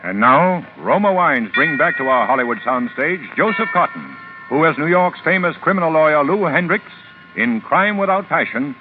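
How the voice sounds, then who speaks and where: Dramatic higher-voiced vintage radio announcer man. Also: expressive. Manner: dramatic, deliberate. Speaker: program announcer. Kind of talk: formal 1940s radio introduction. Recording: muffled vintage radio with loud music.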